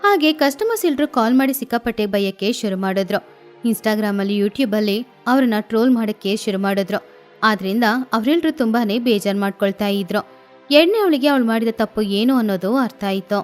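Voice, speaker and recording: A woman narrating a children's cartoon story in a steady, explanatory way. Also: a little high, normal speed. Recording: faint music behind.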